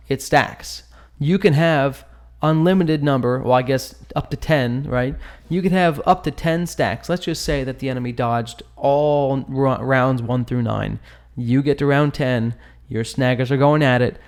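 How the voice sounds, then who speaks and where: A man with a mid-pitched voice talks through a game battle as a channel host. His delivery is informal and conversational, full of slang and joking asides. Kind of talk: casual gameplay commentary, analysing a battle report.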